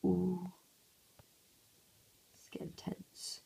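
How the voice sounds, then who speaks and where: A man with a hoarse, croaky voice, half-whispering casual commentary over a mobile game at home early in the morning, recorded on a phone. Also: sits high, monotone, very slow, dark tone. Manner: half-whispering to avoid waking sleepers. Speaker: gameplay commentator.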